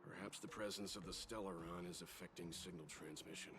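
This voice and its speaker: Casting: actor for mature male game mentor, low voice, composed, analytical, measured. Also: steady tone, music in the background, close to an everyday voice. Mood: pensive. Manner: measured, reasoned, formal.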